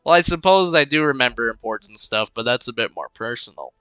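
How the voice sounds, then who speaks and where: Bright, crisp young man mulling over a game. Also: mid-range voice, lively intonation, brisk, no echo, low-quality recording. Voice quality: congested. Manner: rambling, muttering to himself while thinking. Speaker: gaming commentator. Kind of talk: casual let's-play commentary. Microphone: cheap desk microphone.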